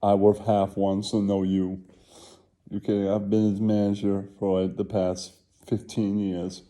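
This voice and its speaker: Bragging, sassy cabin crew boss voiced by a man, slow and scornful, for a comic puppet show. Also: on the low side, level tone, warm tone, close to an everyday voice. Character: sassy top flight attendant bragging about rich celebrity relatives.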